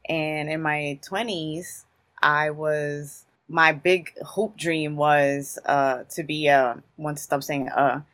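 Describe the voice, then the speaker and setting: A guest in their thirties from Newark speaks, answering in long, emphatic stories full of casual street phrasing. The voice sits at a middle pitch, neither high nor low. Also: steady tone, slow, a bit bright. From Newark, New Jersey. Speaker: guest, creative in publishing.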